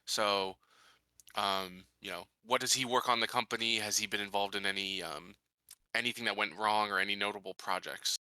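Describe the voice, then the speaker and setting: A man playing a calculating colony leader in a tabletop game, speaking in a deliberate, formal, halting way. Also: on the low side, steady tone, bright, forceful tone, dry recording. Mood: measured. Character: measured, calculating male colony leader giving orders.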